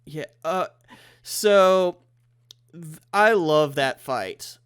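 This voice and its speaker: A congested man with a fairly high voice hosts a relaxed podcast chat, recorded remotely over the internet. He talks eagerly and often trails off mid-thought. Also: very slow, clear, resonant tone. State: congested from a head cold. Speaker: podcast host.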